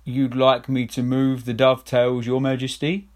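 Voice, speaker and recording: A man's voice: mid-range voice, monotone, moderate pace, darkish tone, close to an everyday voice. A man voicing an old, dutiful royal chief adviser who speaks formally and deferentially.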